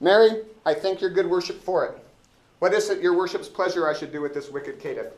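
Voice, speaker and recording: Man putting on a self-important constable, indignant, blustering, raised pitch, old stage comedy, classroom reading. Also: brisk, darkish tone, roomy sound, lightly acted. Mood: officious. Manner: pompous, sputtering insults.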